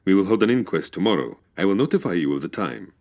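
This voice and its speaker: A deep-voiced, officious policeman. His delivery is formal and swings in pitch, in old radio drama style. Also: expressive, quick pace, darkish tone. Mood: stern, official.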